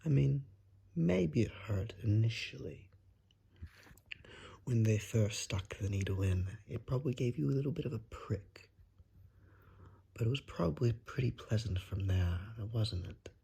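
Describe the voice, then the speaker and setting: Suave ASMR vampire, acted by a man: very breathy and husky, unhurried, politely menacing and seductive. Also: mid-range voice, animated, dark tone, exaggerated voice. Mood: soothing, amused. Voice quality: breathy, husky, half-whispered. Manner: courtly, drawn-out, seductive menace. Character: suave, predatory vampire, coaxing and darkly polite. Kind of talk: ASMR roleplay, slow and whispery.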